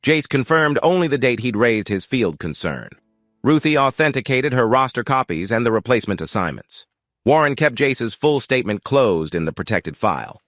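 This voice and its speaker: A man giving scripted first-person story narration in an even, reflective way. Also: medium pitch, normal speed, dry recording, studio quality.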